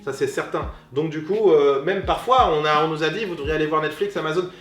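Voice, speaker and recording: A man in his thirties chats as an interview guest, lively and talkative, circling back to restart his thoughts. His voice sits a little high, and the room around him rings noticeably. Faint music plays underneath. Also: a little fast. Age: 30s. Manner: animated, talkative, frequent restarts and fillers. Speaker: guest, comedian. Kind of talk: casual interview conversation. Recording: echoey room with music behind.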